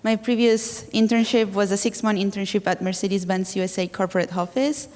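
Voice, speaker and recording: Halting young woman from Italy. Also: mid-range voice, fairly even intonation, darkish tone. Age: 20s. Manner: halting, searching for words. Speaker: student panelist. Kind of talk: panel discussion. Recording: long hall reverb.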